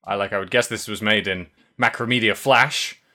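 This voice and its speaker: A man's voice: on the low side, fairly even intonation, sharp tone. A man co-hosting a podcast, chatting casually and often restarting his sentences. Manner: halting, many restarts and fillers. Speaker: podcast co-host. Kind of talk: casual chat.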